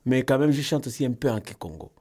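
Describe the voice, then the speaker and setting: A man's voice: medium pitch, lively intonation, fast talker, mellow. A man with a Congolese accent speaking casually as an interview guest, often repeating words as he searches for his phrasing.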